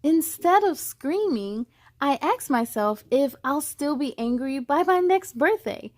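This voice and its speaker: A woman reading as an earnest young girl in a children's storybook, slowly and expressively. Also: sits high, animated, darkish tone, no echo, studio quality. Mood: earnest, amused. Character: young girl narrator learning to manage anger. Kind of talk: children's read-aloud in first person.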